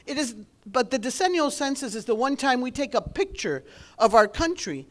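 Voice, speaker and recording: A woman's voice: high voice, big pitch swings, moderate pace. A woman with an accent giving an earnest, explanatory informational speech to a community audience. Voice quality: speaks with an accent. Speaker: government outreach speaker.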